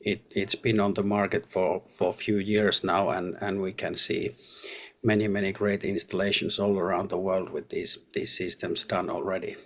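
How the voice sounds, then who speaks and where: A man answering interview questions as an audio product specialist, speaking haltingly and often repeating words. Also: low-pitched, little pitch movement, a bit bright.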